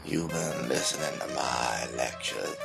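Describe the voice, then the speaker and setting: A man playing a menacing, bitter old cult leader in an audio drama, with a gravelly voice and a rustic, brooding way of talking. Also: rumbling low, a little slow, loud music behind the voice, heavily acted. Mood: menacing, mocking.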